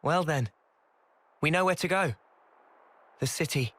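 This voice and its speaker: An actor playing an earnest, determined young outlaw soldier who leads by persuasion in a video game cutscene. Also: male voice, on the high side, unhurried, fairly bright tone. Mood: resolute.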